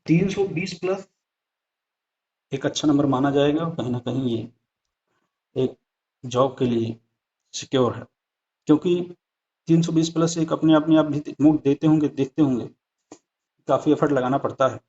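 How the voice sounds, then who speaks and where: A man's voice: a little high, moderate pace, pauses often, dark tone. A man giving a conversational explanatory lesson over slides, speaking directly to viewers and repeating points for emphasis. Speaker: exam-prep presenter. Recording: dull lo-fi sound.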